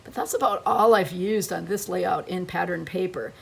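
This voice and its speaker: Lady crafter losing her voice, low and gravelly, rambles along while she makes a scrapbook page. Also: normal speed, poor audio. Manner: chatty, thinking aloud as she works. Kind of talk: casual craft tutorial. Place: crafting table, filming her layout.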